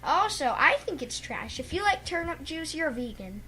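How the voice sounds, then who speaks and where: A woman's voice: high-pitched, big pitch swings, moderate pace, pushed and bright, low-quality recording. A woman co-hosting a radio show, reading out trivia and chatting casually.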